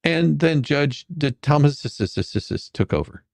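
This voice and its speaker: A performer playing a bumbling, sarcastic questioning attorney in a comedic deposition reading, halting and theatrical. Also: medium pitch, lively intonation, gravelly, a little mellow, dry recording. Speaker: dramatic reader.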